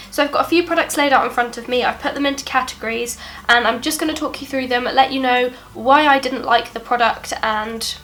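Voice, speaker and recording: A woman's voice: fairly high pitch, fairly bright tone. A young British woman with a stuffy nose from a cold, talking through a product review in a subdued, apologetic way. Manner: subdued and apologetic, chatty with fillers. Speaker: beauty vlogger. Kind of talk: casual product review talk.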